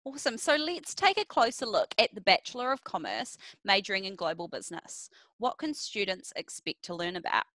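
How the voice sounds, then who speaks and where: Bright, lively young woman interviewer. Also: medium pitch, a little fast, few pauses, no room sound. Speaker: student liaison officer, interviewer. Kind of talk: scripted interview questions. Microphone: headset. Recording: dry close headset audio.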